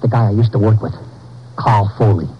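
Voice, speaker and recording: Fast-talking, husky, frightened convict. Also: male voice, on the low side. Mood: anxious, pleading. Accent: American. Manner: stammering, halting, ungrammatical. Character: nervous, pleading small-time male convict informant. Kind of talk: 1950s American radio drama acting. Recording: muffled old 1950s radio recording.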